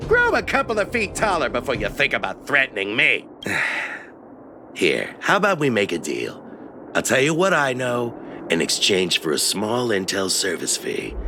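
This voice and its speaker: A man's voice: mid-range voice, noisy background. An actor playing a touchy, slick, self-important merchant with a haughty, theatrical salesman manner in a video game. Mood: indignant, then slick, wheedling. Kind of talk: video game character acting. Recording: music behind the voice.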